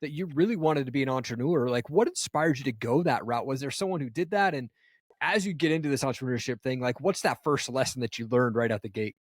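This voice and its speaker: A man's voice: medium pitch, quick pace, no room sound. A man in his forties hosting an interview podcast, upbeat and casual, talking into a studio microphone at home. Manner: upbeat, casual, says man and dude a lot. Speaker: podcast host. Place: home studio with a flag backdrop. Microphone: studio mic on a stand, headphones.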